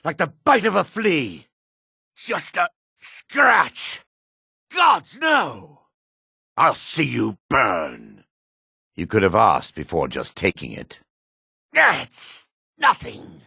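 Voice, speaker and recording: An actor playing a gruff, wary, embattled male dark elf with a raspy, gravelly voice in a fantasy video game. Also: mid-range voice, huge pitch swings, very slow, pauses often, no room sound, clean studio sound, heavily acted. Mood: combative, angry.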